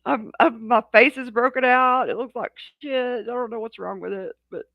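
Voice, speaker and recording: Gravelly, rambling talk-show co-host. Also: mid-range voice, fairly even intonation, moderate pace, no echo, dull audio. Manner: rambling, frank, many restarts. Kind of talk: casual chat.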